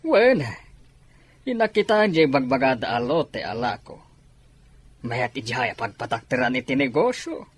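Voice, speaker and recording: Man playing a bossy father, very animated pitch. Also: normal speed. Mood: businesslike. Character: bossy, impatient well-off businessman father. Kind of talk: radio drama dialogue. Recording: clearly noisy, vehicle ambience behind.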